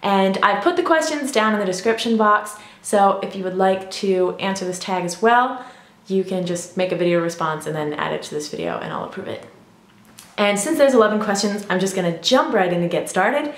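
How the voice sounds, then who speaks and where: A woman's voice: medium pitch, expressive, brisk. A young woman vlogging casually about learning languages, chatty and full of ums and you-knows. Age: young adult. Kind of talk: casual vlog talk. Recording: echoey room.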